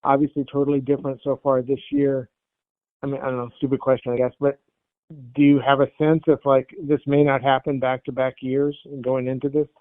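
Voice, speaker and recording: A man's voice: medium pitch, little pitch movement, a little fast, no room sound. A man asking press questions as a sports reporter, hesitant and roundabout, over a phone line. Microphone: phone conference call. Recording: narrow phone line, dry.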